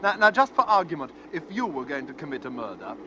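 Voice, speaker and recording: An actor playing a smug, genteel stranger with a condescending, suave manner in an old-time radio drama. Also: male voice, a little high, big pitch swings, quick pace. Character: smug, genteel, sinister male stranger boasting of murder. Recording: noisy vintage radio recording.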